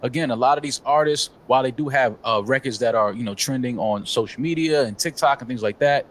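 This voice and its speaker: A man with an average-pitched voice, co-hosting a talk show. He lays out his views at length, leaning on "um" and "you know" as he builds each point. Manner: opinionated, measured, many fillers. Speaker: podcast co-host. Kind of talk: casual chat.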